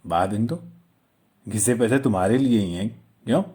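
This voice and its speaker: A man voicing a humble, gentle young money-changer in a storybook, with playful, self-deprecating banter. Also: fairly low pitch, moderate pace, close to an everyday voice. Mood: playful, wistful. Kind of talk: storybook character dialogue.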